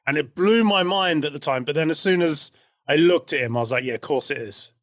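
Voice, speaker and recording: Male co-host, slightly high voice, chatty and easily amused, recorded dry. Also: a little fast, fairly bright tone, no echo. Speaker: podcast co-host. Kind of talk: casual chat.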